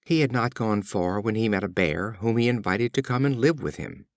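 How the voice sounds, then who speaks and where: A man narrating short fables as an audiobook reader, in a measured, expressive storytelling style. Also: on the low side, no room sound, clean studio sound.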